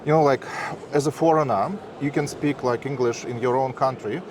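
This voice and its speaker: A man's voice: medium pitch, moderate pace. A man with a Russian accent, a Russian speaker, hosting a casual interview and asking long, rambling questions. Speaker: interviewer, host. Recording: street noise behind.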